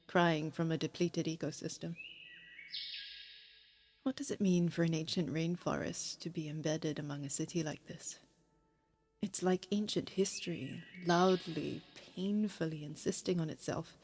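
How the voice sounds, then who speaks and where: A heartbroken lover in an audio drama, really slow and reflective, music underneath. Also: female voice, deep voice, a little mellow, husky, exaggerated voice. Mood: mournful, insistent. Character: grieving heartbroken lover, pensive and lyrical. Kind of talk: poetic audio-drama monologue.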